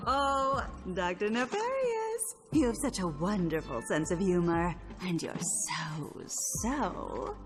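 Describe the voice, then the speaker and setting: An actress playing a fawning, seductive female villain in a video game, gushing with flattery. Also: mid-range voice, takes it slowly, hardly any pauses. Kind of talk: video game cutscene acting. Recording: reverberant, music behind.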